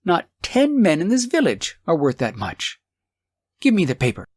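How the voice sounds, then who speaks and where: A man playing a poor old working husband in an audiobook story, excitable and emphatic. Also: on the high side, normal speed, breathy edge, no echo, pitched above the performer's own voice, exaggerated voice. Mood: amazed.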